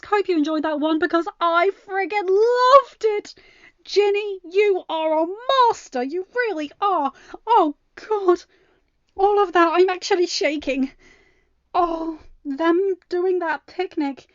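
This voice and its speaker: A woman's voice: very high voice, no room sound. A woman reading stories aloud as host of a fan fiction channel, on a close, slightly muffled home recording. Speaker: podfic reader and channel host. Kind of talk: narrated fan fiction reading with chatty, excitable sign-off.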